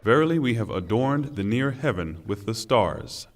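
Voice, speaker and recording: A man with a medium-pitched voice reads translated scripture formally. His delivery is solemn and deliberate, and a long reverb trails after his words. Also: expressive. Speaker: reader of Quran translation.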